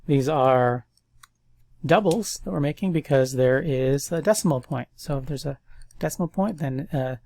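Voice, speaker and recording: A man's voice: a little high, normal speed, gravelly, dry recording. A man with a stuffy nose, casually thinking aloud as he walks through a coding tutorial. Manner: thinks aloud, relaxed and chatty. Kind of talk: casual screen-recorded coding walkthrough.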